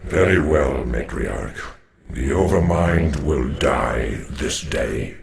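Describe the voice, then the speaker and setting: A man playing a grave, proud ancient alien warrior in a sci-fi game, with a gravelly voice and solemn, stern, formal delivery. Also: expressive, slow, a loud crowd, exaggerated voice. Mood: grim resolve. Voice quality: deep, gravelly. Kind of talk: dramatic sci-fi game voice acting.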